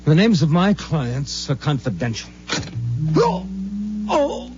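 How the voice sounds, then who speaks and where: An actor playing a captured private investigator, halting and groaning yet stubborn, in 1950s radio drama style. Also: male voice, fairly high pitch, wildly swooping pitch, darkish tone, exaggerated voice. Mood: pained, halting. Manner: halting, groaning, gasping protests. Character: groggy, defiant captured private investigator, rattled but stubborn. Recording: dull old radio broadcast with music.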